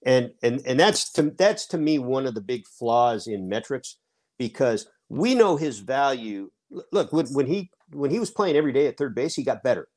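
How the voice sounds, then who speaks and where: A man's voice: mid-range voice, animated, moderate pace, darkish tone. Emphatic middle-aged man arguing baseball. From Seattle, USA. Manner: emphatic, argues a point at length. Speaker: baseball writer, panelist. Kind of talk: sports talk podcast discussion.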